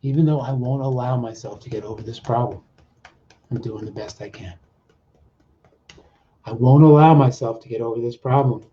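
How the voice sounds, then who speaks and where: A man working as a coach, leading a guided self-help exercise in short, deliberate, repeated phrases with pauses between them. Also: medium pitch. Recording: dull, muffled lo-fi audio.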